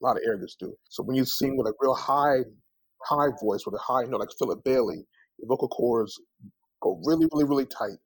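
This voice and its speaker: A man's voice: mid-range voice, fairly quick, no echo, low-quality recording. A man being interviewed as a singer, telling anecdotes casually and acting out the dialogue with plenty of conversational asides. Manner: animated anecdotes with reenacted dialogue, peppered with "you know" and "man".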